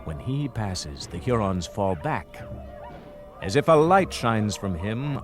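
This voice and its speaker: An actor playing a grave storyteller, narrating a radio drama in a poetic, dramatic style. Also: male voice, on the low side.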